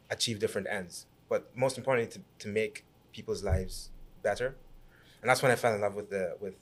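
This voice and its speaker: Low-voiced man telling his story. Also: breathy edge. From Guyana. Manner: animated storyteller with frequent restarts and repeated words. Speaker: guest, businessman and city councillor. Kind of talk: conversational podcast interview.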